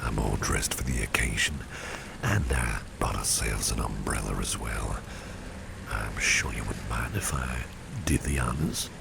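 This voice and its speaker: A man playing a courteous, doting businessman boss in an ASMR roleplay, speaking in a soothing, breathy half-whisper. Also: very deep voice, a little slow, full character voice. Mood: attentive, gently charming. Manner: gentlemanly, formal, soothing. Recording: noisy, with rain and street ambience.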